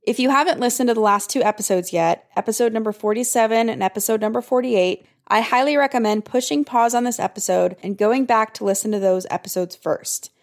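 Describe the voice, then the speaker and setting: A woman hosts a podcast about fiction writing, her voice a touch hoarse and froggy. She explains each point in an upbeat, orderly way, as if reading from prepared notes. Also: on the high side, brisk, hardly any pauses. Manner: upbeat, clear step-by-step explaining. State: slightly hoarse. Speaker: podcast host and writing coach. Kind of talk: scripted podcast teaching.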